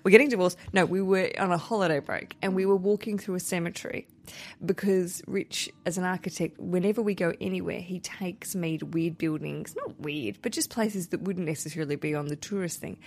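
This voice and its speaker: A woman's voice: lowish voice, a little fast. Chatty Australian woman podcast host. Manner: chatty, jokey and lively. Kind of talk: casual chat.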